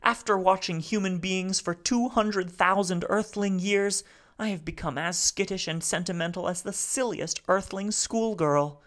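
A man voicing a grief-stricken little old male alien machine who speaks haltingly, as if lamenting. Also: high-pitched, fairly bright tone, voice pushed up. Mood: mournful.

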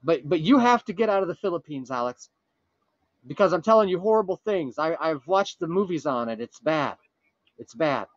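A man playing a frantic, earnest time traveler from the future on a prank call, urgent, pleading and halting with dramatic pauses. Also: on the high side, lively intonation, no room sound, highs cut off. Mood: solemn pleading. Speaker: prank caller. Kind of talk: improvised comic prank phone call.

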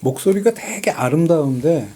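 A man's voice: fairly high pitch, lively intonation, normal speed, dark tone. A man in his forties, co-hosting a music talk show, telling his memories in a reflective, conversational way. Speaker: podcast co-host. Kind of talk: casual reminiscing music chat.

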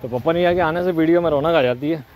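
A man's voice: fairly high pitch, fast talker, a little mellow, a little hiss or hum. A man in his thirties vlogging casually outdoors, chatting cheerfully and laughing with his viewers, filmed on a handheld camera. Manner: chatty, upbeat, laughs easily, talks to viewers as friends. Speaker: travel vlogger. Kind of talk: casual travel vlog narration. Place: outdoors on a forest hilltop and trail. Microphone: phone or action camera held at arm's length. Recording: background music mixed under the voice.

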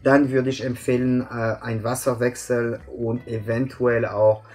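Husky French-accented man, halting explanations. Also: mid-range voice, flat delivery, darkish tone. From France. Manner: hesitant, many "äh" fillers, explaining step by step. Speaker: guest expert. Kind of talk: explanatory interview chat. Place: bright office room with plants.

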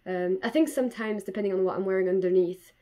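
A woman's voice: mid-range voice, fast, mellow. A woman in her twenties from Belgium, chatting casually to camera at home as a knitting podcast host, with frequent fillers and restarts. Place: bedroom at home, indoors. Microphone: camera in front at desk distance.

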